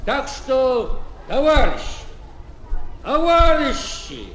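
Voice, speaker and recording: A man's voice: very high-pitched, very animated, extremely slow, a bit bright, full character voice. A man playing a timid, kindly citizen who hesitates and repeats himself in a comic children's audio sketch. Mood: grateful, speechifying. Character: timid, kindly garage owner turned speechmaker. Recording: echoey, noisy old flexi-disc.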